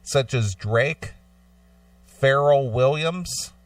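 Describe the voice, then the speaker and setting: A man's voice: mid-range voice, drawn-out words, no echo. A man hosting a casual talk show in a blunt, conversational way, at times reading an article aloud. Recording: slightly distant microphone, dry sound.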